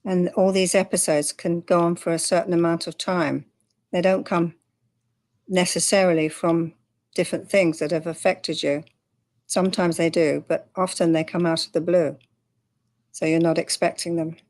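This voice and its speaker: An older woman from England, calmly and plainly sharing her story as an interview guest. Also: on the low side, moderate pace. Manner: calm, matter-of-fact, with light laughs. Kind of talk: candid personal interview.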